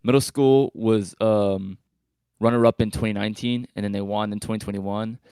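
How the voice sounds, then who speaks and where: A man's voice: on the low side, steady tone, normal speed, mellow, smooth, no echo, studio quality. A man chatting casually as a panelist, tentative and rambling, often correcting himself mid-thought. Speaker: podcast panelist. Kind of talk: casual chat.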